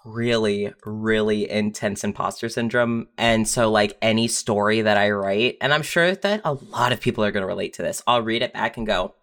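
Podcast guest, medium pitch, candid casual chat, frank writer's manner. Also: moderate pace, few pauses, fairly bright tone.

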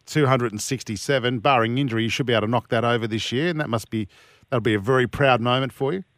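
A man's voice: medium pitch, fast talker, a bit bright, no room sound, studio quality. A man hosting a sports radio show, chatty and joking with his guest. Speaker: radio host. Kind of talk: casual sports radio chat.

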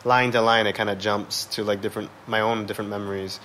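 A man's voice: fairly low pitch, little pitch movement, quick pace, a bit bright. A man in his thirties chatting casually as an interview guest, slangy and rambling, with lots of "like.". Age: 30s. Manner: rambling, heavy use of "like", slangy. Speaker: musician, podcast guest. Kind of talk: casual chat. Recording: noticeable background noise.

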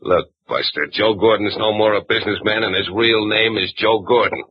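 A man's voice: fairly low pitch, fairly even intonation, normal speed, husky. An actor playing a menacing male crook who gives curt, sneering threats, in a 1940s radio drama recording. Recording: dull old radio recording.

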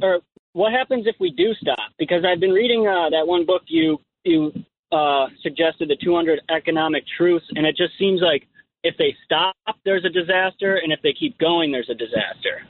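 Young male caller in his twenties, high voice, tinny phone line, hesitant and halting. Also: no room sound. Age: 20s. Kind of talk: phone-in call.

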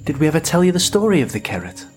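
A protective father in an audio drama, coaxing a young child. His delivery is informal and swings widely in pitch, with music underneath. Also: very animated, racing. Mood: playful, encouraging.

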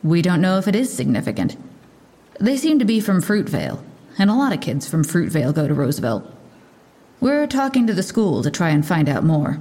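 An actress playing a brisk, sardonic police lieutenant giving a guarded press briefing in an audio drama. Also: female voice, lowish voice, sing-song, mellow, poor audio. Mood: measured, official.

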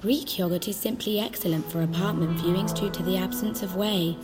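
A woman's voice: mid-range voice, fairly even intonation, close to an everyday voice. A woman playing a precise, formal guiding voice that lives inside someone's head in an audio drama. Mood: calm, instructive. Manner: formal, measured, instructing. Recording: music behind the voice. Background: music.

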